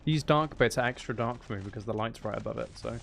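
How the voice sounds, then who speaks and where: A man's voice: medium pitch, quick pace, creaky, fairly bright tone, slight background noise. A man streaming a video game, thinking aloud in a casual, playful running commentary. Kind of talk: casual live gameplay commentary.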